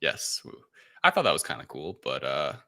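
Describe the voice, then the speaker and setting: A man hosting a casual film review discussion, recapping in a halting, hedging way. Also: very deep voice, huge pitch swings, vocal fry, pushed and bright. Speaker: podcast host.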